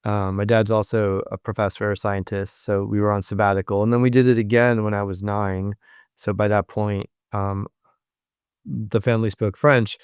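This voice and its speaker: Low-voiced thoughtful male professor. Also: level tone, moderate pace, clean tone, no echo, clean studio sound. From United States. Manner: thoughtful, with frequent ums and restarts. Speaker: professor and interview guest. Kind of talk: reflective interview answers.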